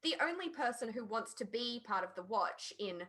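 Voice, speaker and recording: A woman's voice: a little high, animated, moderate pace, pushed and bright. A woman, sounding middle-aged, chatting casually as a podcast panelist.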